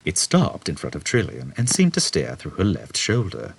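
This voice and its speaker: A man's voice: low voice, huge pitch swings, moderate pace, vocal fry, breathy edge, dry recording. A man narrating scripted fiction as an audiobook reader.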